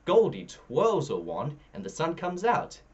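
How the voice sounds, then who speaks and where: A man reading a children's story aloud expressively, shifting his pitch between narration and dialogue. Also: wildly swooping pitch, unhurried, slightly rough, lo-fi sound. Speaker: storybook reader.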